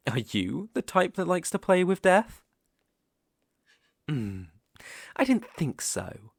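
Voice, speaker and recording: Slow, sinister British male werewolf, acted by a man. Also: mid-range voice, no echo, clean studio sound, heavily acted. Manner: theatrical, slow and teasing, drops into whispers. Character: sinister, smooth-talking male werewolf luring a stranger. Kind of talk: ASMR roleplay audio acting.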